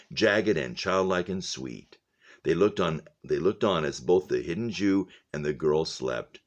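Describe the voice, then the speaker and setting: A man with a very deep, low voice reads aloud as a teacher would to a class. His delivery is deliberate and expressive, and the ends of his phrases sink into a hush. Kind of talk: expressive audiobook-style reading with casual spoken commentary.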